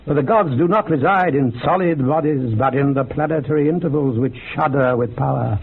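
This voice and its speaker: An actor playing an earnest, bookish occult researcher in a radio drama, lecturing in a measured, gravelly, husky voice. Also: male voice, medium pitch, a little hiss or hum, close to an everyday voice. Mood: scholarly, absorbed. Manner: measured, lecturing, quotes texts with relish. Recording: muffled phone-like old radio recording.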